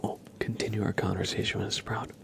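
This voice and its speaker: A man doing casual ASMR chat in a hushed, mostly whispered voice, rambling gently. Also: lowish voice, flat delivery, a little fast, vocal fry. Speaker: ASMR livestreamer.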